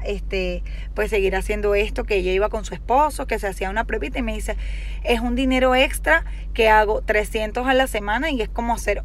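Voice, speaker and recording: A woman's voice: medium pitch, slight background noise. A young woman chatting casually to her phone camera from inside a parked car, hesitant and full of fillers as she explains something. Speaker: vlogger. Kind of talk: casual selfie-video chat. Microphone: phone held at arm's length.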